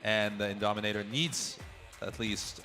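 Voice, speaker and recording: A man co-hosting live esports commentary, relaxed and prompting his partner with questions. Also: lowish voice, moderate pace, soft background music.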